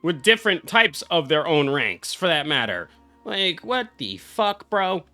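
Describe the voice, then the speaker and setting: A man's voice: fairly high pitch, very animated, unhurried, sharp tone. A young man, a game streamer, giving casual live reaction commentary in a brash, exclamatory, heavily profane way. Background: game music.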